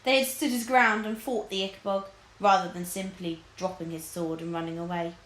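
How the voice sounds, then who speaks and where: A woman reading a children's story aloud as a teacher would, with expressive narration, in an echoey room. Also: on the low side, fairly quick.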